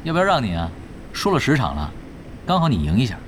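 A man's voice: medium pitch, wildly swooping pitch, fast talker, slight background noise. An actor playing a cocky, laid-back veteran male pro gamer in a Chinese audio drama, casual and taunting. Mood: playful taunting. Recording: background music under the voice.

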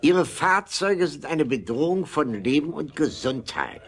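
A man's voice: a little high, very animated, measured pace, slightly rough, exaggerated voice. An actor playing a scornful, officious man in a historical audio drama, speaking emphatically and with disapproval. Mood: stern, officious. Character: scornful male townsman and stern, officious district official.

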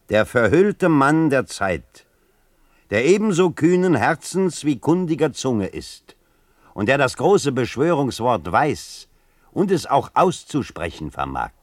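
A man's voice: medium pitch, no room sound, studio quality. A man reading literary prose as a dramatic recitation, solemn, emphatic and rhetorical. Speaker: reader.

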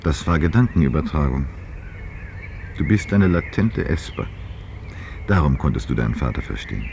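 Very deep-voiced, insistent investigator. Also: male voice, very animated, creaky, exaggerated voice. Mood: insistent, probing. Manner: measured, insistent questioning and explaining. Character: authoritative male telepath investigator, probing, explanatory, insistent. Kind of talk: German science-fiction radio play acting. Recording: dull, slightly noisy 1970s radio recording.

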